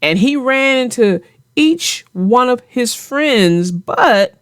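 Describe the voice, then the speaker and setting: A woman's voice: fairly high pitch, lively intonation, slow, clean tone, no room sound, studio quality. A woman hosting a children's story show, chatting in a folksy, playful, teasing way. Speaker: host and storybook narrator. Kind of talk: children's read-aloud and casual chat about the story.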